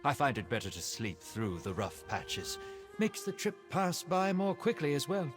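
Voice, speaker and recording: An actor playing a secretive, falsely friendly ship's host in a video game, coy and insinuating. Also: male voice, mid-range voice, big pitch swings, moderate pace, over music, close to an everyday voice. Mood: sly, soothing. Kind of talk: scripted video game dialogue.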